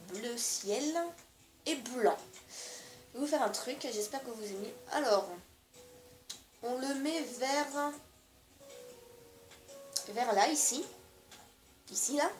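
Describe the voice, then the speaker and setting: A woman with a slightly high voice, stuffy from a cold, walks through a building tutorial in hesitant, stop-start steps. Her voice rings in an echoey room. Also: a little mellow. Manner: hesitant, step-by-step instructions with many fillers. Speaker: gaming video creator. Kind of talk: building tutorial over gameplay.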